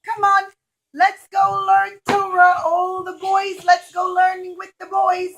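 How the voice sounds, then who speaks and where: A woman's voice: extremely high pitch, completely flat delivery, takes it slowly, fairly bright tone, voice pushed up, heavily acted, rough recording. A woman voicing eager, mischievous children in a puppet show, calling out in a singsong way.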